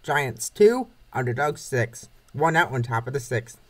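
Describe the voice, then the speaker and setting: A man's voice: medium pitch, lively intonation, unhurried. A man reading scripted play-by-play narration of a comic baseball game. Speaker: narrator of a comic dub series.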